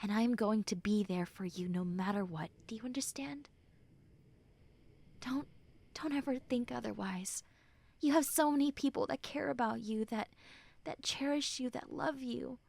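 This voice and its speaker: A woman playing a gruff tsundere friend who has turned tender and vulnerable, speaking softly and earnestly in an intimate roleplay audio. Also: fairly high pitch, normal speed. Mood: tender, comforting. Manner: earnest, hesitant, soft, often dropping to breathy near-whispers.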